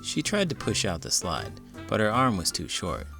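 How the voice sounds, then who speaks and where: A man reading a children's storybook aloud in an expressive, theatrical narrating style. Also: lowish voice, moderate pace, creaky, over music.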